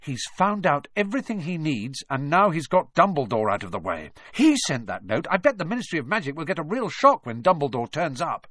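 Man playing an urgent, earnest schoolboy, lively pitch. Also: brisk, hardly any pauses, no echo, studio quality. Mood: grim, urgent. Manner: urgent, pleading. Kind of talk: audiobook character voice.

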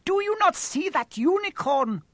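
A man's voice: high-pitched, expressive, moderate pace, no room sound, voice pushed up. A man voicing a noble young male centaur, earnest and protective, who speaks in an urgent, solemn way. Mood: impassioned.

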